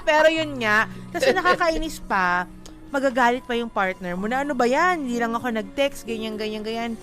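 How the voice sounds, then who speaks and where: A woman with a fairly high voice and a Filipino accent chats casually and gives advice as a co-host. She is emphatic and candid and mixes in English, with music clearly playing behind her. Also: animated, fairly quick, fairly bright tone. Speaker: radio show co-host giving relationship advice. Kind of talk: casual unscripted banter and advice. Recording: music bed under the talk. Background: background music.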